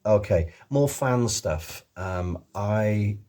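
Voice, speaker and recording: A man's voice: lowish voice, expressive, very slow, warm tone. A middle-aged man with an accent between English and Welsh, chatting casually to camera in a rambling way with many ums. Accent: mix of English and Welsh. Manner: rambling, full of ums and restarts. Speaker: author, illustrator and voice actor. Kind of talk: casual video chat to camera.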